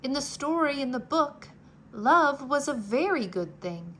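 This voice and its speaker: A woman reading a children's storybook aloud, slow and expressive, dropping to a whisper at quiet moments. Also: high voice, slight background noise. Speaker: storybook reader. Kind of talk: children's read-aloud narration.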